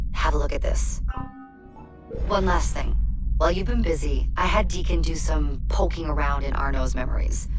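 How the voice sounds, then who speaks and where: Casual female handler played by an actress, low register, conversational game briefing over prominent music. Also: close to an everyday voice. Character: casual, helpful woman handler guiding a new recruit. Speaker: mission guide speaking to the player.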